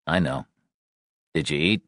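A man's voice: very deep voice, a little slow, a bit bright, dry recording. A man reading an audiobook voices a tired, defensive husband close to his own natural voice, in a weary, clipped way.

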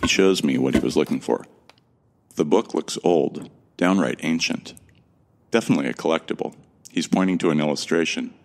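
Very deep, gravelly male narrator. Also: moderate pace. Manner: earnest, bewildered. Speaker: narrator and lead actor. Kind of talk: first-person audio drama narration.

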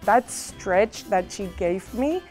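A woman's voice: medium pitch, animated, takes it slowly, darkish tone, faint music behind. A woman in her forties with a Puerto Rican Spanish accent, speaking earnestly in an interview. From Puerto Rico. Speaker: interviewee, HR professional. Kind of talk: sit-down interview.

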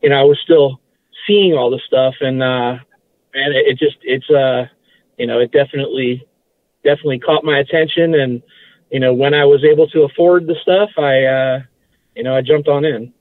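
A man's voice: mid-range voice, fairly even intonation, normal speed, dry recording. A man from Massachusetts, a guest telling stories in a casual interview, hesitant, with many restarts. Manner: hesitant, lots of fillers and restarts. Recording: tinny phone line.

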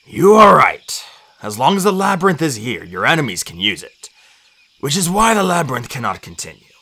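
Man reading as old male inventor, gentle, resigned, measured pace, formal phrasing, storybook character. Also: medium pitch, sing-song, slightly rough, heavily acted.

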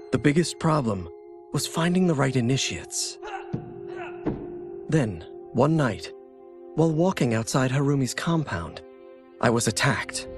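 An actor playing a reflective, compassionate martial-arts warrior, telling his story sincerely in a video game. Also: mid-range voice. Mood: grave, reflective. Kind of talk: scripted video game ending narration.

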